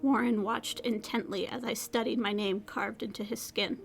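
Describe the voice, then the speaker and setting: A woman's voice: on the high side, steady tone, moderate pace, creaky, music in the background. A woman playing a sardonic, weary and emotionally raw young woman in a scripted audio drama. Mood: reflective narration. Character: defiant, weary young woman, sarcastic survivor. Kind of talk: scripted audio drama acting with first-person narration.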